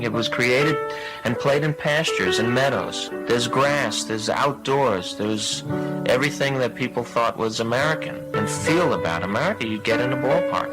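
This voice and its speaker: A man's voice: mid-range voice, low-quality recording. A man talking reflectively, in the style of a documentary interview.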